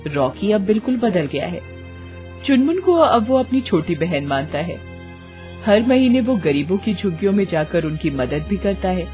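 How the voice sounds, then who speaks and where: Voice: medium pitch, lively intonation, moderate pace. A narrator telling a moral story in a scripted bedtime-tale style. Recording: phone-like thin sound, music behind.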